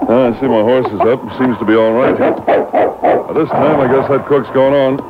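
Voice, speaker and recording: A man's voice: fairly even intonation, normal speed, natural voice. An actor playing a resolute Mountie sergeant who talks to his dog and horse, in old-time radio drama style. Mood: determined. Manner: calls commands to horse and dog. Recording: noisy tinny boombox taping of AM radio.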